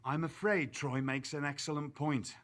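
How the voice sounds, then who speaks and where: A man playing a theatrical wrestling general manager in a video game, speaking in a wry, measured, formal manner. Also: fairly high pitch, steady tone, a bit bright, close to an everyday voice. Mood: decisive, showy. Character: theatrical, wry male wrestling boss with authority. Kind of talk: game cutscene dialogue.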